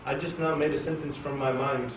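A man from Oklahoma giving an informal Quran class to an audience in a mosque, talking in a jokey, animated way and turning to people in the room. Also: medium pitch, brisk. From Oklahoma, USA. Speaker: Quran teacher. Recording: echoey room, distant mic, faint hiss.

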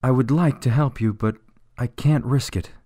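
Disgraced jailed politician for radio drama male actor, scared, flustered, pleading, mid-pitched, very dry sound. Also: normal speed, dark tone, dry recording, natural voice. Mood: reluctant, confessing. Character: frightened, desperate, disgraced male politician in prison.

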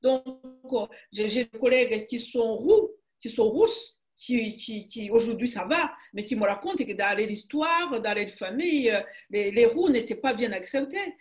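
An older woman with a Rwandan accent speaks in a slightly high voice, halting and emphatic, often restarting her phrases. She talks over a webcam call from a room at home. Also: lively intonation, soft background music. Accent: Rwandan French. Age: 60s. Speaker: author guest. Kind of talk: conversational interview. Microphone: video call webcam.

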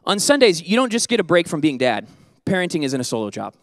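A man in his thirties preaching a sermon on a church stage into a handheld mic, animated and joking, then dropping to soft earnest tones. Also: on the high side, fast, no echo, studio quality. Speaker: pastor.

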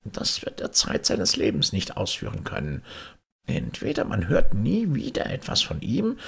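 A man voicing a cunning old fairy-tale witch, with a high, breathy, creaky voice and a sly, swooping delivery. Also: huge pitch swings, normal speed, fairly bright tone, heavily acted. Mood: sly scheming.

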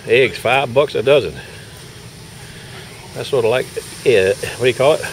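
A man's voice: mid-range voice, normal speed, vocal fry, low-quality recording. An older man giving folksy, casual running commentary on his knife-sharpening work at an outdoor farmers market, recorded on a handheld camera, laughing often. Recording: faint outdoor noise.

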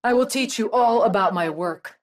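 An actress playing a haughty, disdainful scientist in a video game, measured, precise and condescending. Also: female voice, medium pitch, close to an everyday voice. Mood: scornful. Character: haughty, disdainful female scientist, coldly superior.

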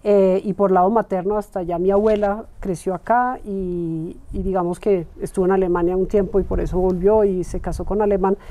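Woman in her 40s from colombia, mellow warm voice, easygoing interview guest, laughs a lot. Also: mid-range voice, fairly even intonation, brisk, few pauses. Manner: thoughtful, gestures while talking, laughs easily. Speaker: interviewed guest, club member. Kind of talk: relaxed conversational interview. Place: bright room with large windows, indoors.

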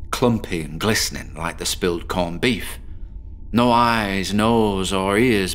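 An actor playing a terrified, paranoid male patient in a horror audio drama, speaking haltingly and sliding into panic. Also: mid-range voice, fairly bright tone. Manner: slow halting speech breaking into panic.

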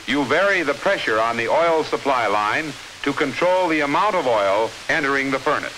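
An American man reading scripted 1950s instructional film narration in a measured, clearly enunciated, authoritative manner. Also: mid-range voice, expressive, sharp tone, low-quality recording. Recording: hissy 1950s film soundtrack.

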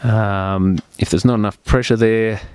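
Low-voiced man giving a practical repair demonstration. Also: fairly even intonation, a little slow, darkish tone, clean tone, dry recording. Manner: plain-spoken, practical, step-by-step explaining. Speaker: repair technician presenting a tutorial. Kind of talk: unscripted hands-on repair demonstration.

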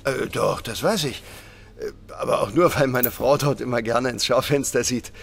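A man's voice: medium pitch, normal speed, gravelly, slightly rough. An actor playing a chatty but wary male janitor in a German audio drama, with a hesitant, folksy manner. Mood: surprised, helpful.

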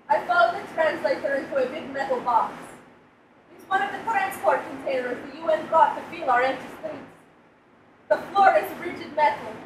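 Casting: woman, very high voice, live dramatic story reading, measured; picked up from afar with clear background noise. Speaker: actor reading a short story. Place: live reading in a brick-walled gallery cafe. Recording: roomy, distant mic, background noise.

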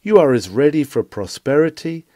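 A man's voice: on the high side, sing-song, moderate pace, warm tone, dry recording. A man reading a scripted sermon in an earnest, rhetorical preaching manner with emphatic phrasing. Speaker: reader of historical sermons.

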